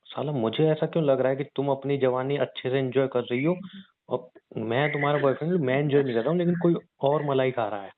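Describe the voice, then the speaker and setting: A man's voice: mid-range voice, brisk. A young man in a casual, bantering relationship phone call, talking in a slangy, coarse and insistent way. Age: young adult. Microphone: phone line. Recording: tinny narrowband phone call.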